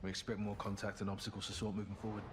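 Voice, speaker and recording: Man playing a gruff, low-voiced veteran army captain. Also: completely flat delivery, racing, background noise, natural voice. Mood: grim, determined. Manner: clipped, terse military commands. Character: gruff veteran military captain, middle-aged man, terse. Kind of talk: dramatic military game acting.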